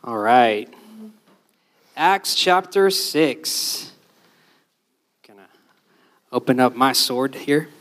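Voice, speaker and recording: A man's voice: mid-range voice, expressive, drawn-out words, long pauses, a bit bright, no room sound. A man born in Honduras, a Spanish speaker, preaching a conversational sermon. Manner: conversational, tag questions.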